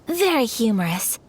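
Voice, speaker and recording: An actress playing a dignified young noblewoman in a video game, formal, measured and composed, with quiet authority. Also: fairly high pitch, slight background noise, heavily acted. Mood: amused, proud. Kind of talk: video game character acting. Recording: music playing behind.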